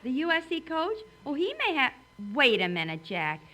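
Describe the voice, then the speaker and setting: Bright, wisecracking skeptical lady friend. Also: high voice, sing-song, normal speed, full character voice. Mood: incredulous, scolding. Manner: teasing, wry. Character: wisecracking, skeptical woman friend, teasing and matter-of-fact. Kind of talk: 1950s radio comedy acting. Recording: old radio recording, faint noise.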